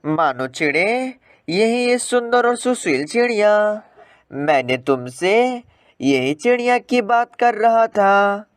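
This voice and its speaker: A man's voice: high voice, very animated, slow pace, clean tone, no echo, studio quality, heavily acted. A man voicing a friendly, eager matchmaking male parrot in a cartoon fable.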